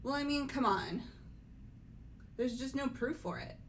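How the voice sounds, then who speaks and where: A woman as a slow-talking, doubtful teenage girl. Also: high-pitched, animated. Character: earnest, disbelieving teenage girl student. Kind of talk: amateur game dub, casual delivery. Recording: noticeable background noise.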